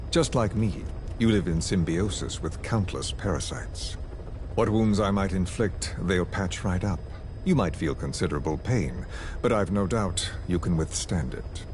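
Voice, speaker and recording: An actor playing a calm, scheming villain who speaks in a slow, measured and quietly threatening way. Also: male voice, sits low. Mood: menacing. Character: cold, menacing male villain, calm and scheming. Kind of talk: game cutscene dialogue. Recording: noisy game audio recording.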